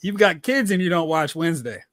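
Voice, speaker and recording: Guy on a pop culture podcast, bright and really swoopy, dry close sound, opinionated ranting. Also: a little high, lively intonation, fairly quick, no echo. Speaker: podcast co-host. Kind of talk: casual pop culture chat.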